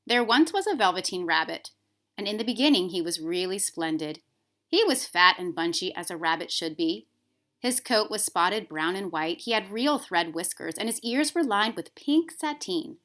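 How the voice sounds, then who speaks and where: Woman, medium pitch, children's storybook reader, expressive, careful phrasing, librarian. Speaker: school librarian reading aloud. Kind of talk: children's storybook read-aloud.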